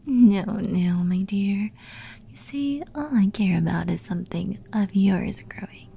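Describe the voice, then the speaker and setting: A woman voicing a seductive, menacing female demon who toys with her prey in a teasing, breathy ASMR roleplay whisper. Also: medium pitch, measured pace, warm tone, slight background noise. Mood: predatory, seductive.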